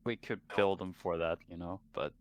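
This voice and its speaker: A man's voice: low voice, steady tone, moderate pace. A man chatting casually as a player in a tabletop roleplaying game, swearing now and then.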